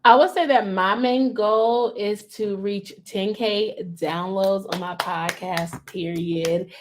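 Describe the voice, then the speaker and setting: A woman's voice: mid-range voice, steady tone, slow. A woman hosting a casual podcast chat, playful and loose, reading out listener questions with plenty of filler "ums". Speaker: podcast host.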